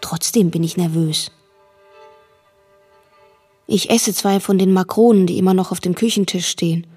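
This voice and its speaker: A watchful, uneasy young girl telling her story as it happens. Her voice is husky and measured, with light music behind it, in the style of a literary radio play. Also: on the low side, mellow. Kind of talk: literary radio drama narration.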